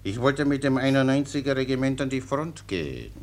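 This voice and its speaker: An actor playing a naive, genial, imperturbable soldier in a German radio play, guileless, unhurried and obliging. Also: male voice, mid-range voice, sing-song, a little hiss or hum. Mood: placid, obliging.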